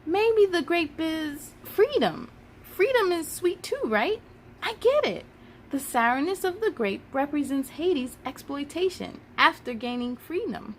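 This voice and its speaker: A woman's voice: high voice, very animated, measured pace, slight background noise, pitched above the performer's own voice. A woman voicing curious, outspoken schoolchildren in a children's storybook, eager and full of questions. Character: curious, outspoken young schoolchildren answering in class. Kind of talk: children's storybook read-aloud.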